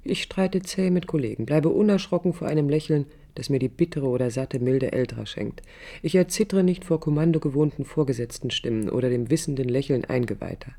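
Mellow, low-voiced young woman, introspective. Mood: insecure, confessional. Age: young adult. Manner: introspective, halting short phrases. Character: sensitive young woman architect, proud yet vulnerable. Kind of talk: radio drama inner-monologue narration.

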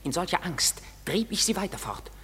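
A man playing a mischievous, excitable woodland sprite in a radio drama, declaiming rhymed verse theatrically with a husky edge. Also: high-pitched, moderate pace, in a large hall, exaggerated voice. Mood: gleeful boasting. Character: mischievous gleeful male woodland sprite, servant to fairy king. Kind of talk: classic stage-verse radio drama acting.

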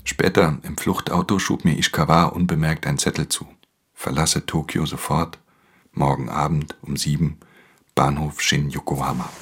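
An actor playing a wary, world-weary pickpocket in a radio play, terse, hushed and matter-of-fact in his telling. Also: rumbling low, slightly rough, dry recording. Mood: terse, grave. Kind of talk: German radio play narration and dialogue. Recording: music under the voice.

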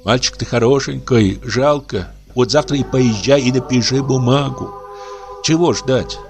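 A man's voice: medium pitch, measured pace, creaky, darkish tone, soft background music, voice pushed up. A man doing a fretful, pleading matron. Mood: coaxing. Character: anxious, fussy middle-aged woman.

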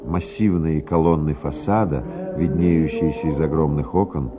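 A man reading scripted dramatic narration for a radio play in a measured, theatrical manner. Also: rumbling low, over music.

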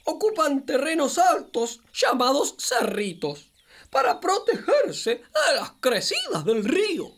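A woman's voice: high-pitched, takes it slowly, full character voice. A woman playing a friendly, wise capybara who patiently explains things to a child in children's radio theatre, with an Argentine accent. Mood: enthusiastic, explaining. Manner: patient, expressive explaining, storyteller-like. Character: friendly wise capybara guiding a little girl.

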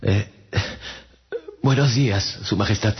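Gulliver, deep-voiced wry traveller. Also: male voice, slow. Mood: puzzled, polite. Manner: theatrical, wry storytelling. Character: Gulliver, bemused shipwrecked traveller telling his adventures. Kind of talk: dramatized first-person radio narration. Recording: dull lo-fi sound with music behind. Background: music.

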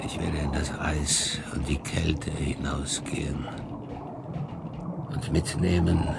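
Low, gravelly, anguished monster. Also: male voice, level tone, very noisy, exaggerated voice. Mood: weary grief. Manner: slow, brooding, swinging from whispers to outbursts. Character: anguished, bitter male monster created by a scientist. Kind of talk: German radio drama acting.

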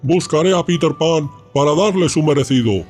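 An actor playing a menacing, vengeful pirate captain in a children's audio drama, theatrical and gloating. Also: male voice, fairly high pitch, moderate pace, darkish tone, faint music behind, close to an everyday voice.

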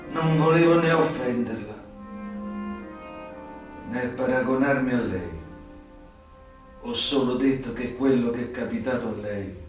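Man acting slow, brooding old ex-mobster, echoey. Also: medium pitch, close to an everyday voice. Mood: apologetic. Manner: halting, deliberate, theatrical delivery with long pauses. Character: old, sick former mobster turned informant, brooding. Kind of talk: radio play acting. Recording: very echoey, distant recording with music behind.

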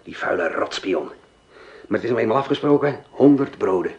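A man's voice: lowish voice, animated, brisk, darkish tone, lo-fi sound, a little hiss or hum, close to an everyday voice. An actor playing a menacing, scornful man plotting against a spy in a radio play. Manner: cold, scornful, mocking.

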